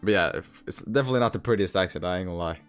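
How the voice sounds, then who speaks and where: A man's voice: low voice, rapid-fire, clear, resonant tone, faint music behind. A young man from Sweden, with roots in Eastern Europe, who learned to speak with an American accent. He hosts a trading livestream, chatting casually with viewers, using slang and repeating his phrases. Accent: learned American English. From Sweden, originally Eastern Europe. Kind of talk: casual live-stream chat reading comments.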